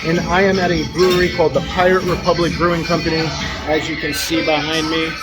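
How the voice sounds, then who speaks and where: Man filming a quick brewery tour clip. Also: on the high side, flat delivery, rough recording. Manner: upbeat, quick touring commentary. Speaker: podcast co-host. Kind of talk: vacation video clip. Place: loud brewery bar in the Bahamas. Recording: phone clip in loud bar. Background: loud music and bar crowd.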